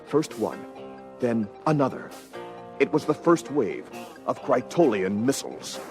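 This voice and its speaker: A man's voice: mid-range voice, sing-song, a little slow. A man narrating a scripted adventure story in a dramatic storytelling style. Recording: music bed under voice. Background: music.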